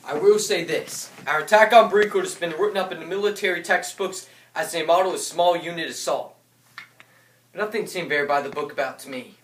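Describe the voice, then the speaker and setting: A man's voice: fairly high pitch, brisk, close to an everyday voice. A man playing a dutiful, battle-tested American paratrooper officer, declaiming his memories of combat in a theatrical war drama. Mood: reflective. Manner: declamatory, bellows battle orders. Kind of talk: theatrical monologue and dialogue. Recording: echoey room from far off, noisy.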